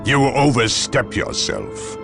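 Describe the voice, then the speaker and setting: A man's voice: mid-range voice, wildly swooping pitch, slow pace, vocal fry, heavily acted. An actor playing a haughty, severe inquisitor in a game cutscene, formal and imperious in his delivery. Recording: loud music behind.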